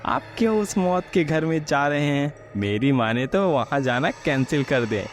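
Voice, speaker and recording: A man's voice: on the high side, animated, moderate pace, a little hiss or hum, pitched above the performer's own voice, close to an everyday voice. A man voicing a frightened, deferential taxi driver who pleads and warns in a horror story. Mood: alarmed, warning. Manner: pleading, cautionary.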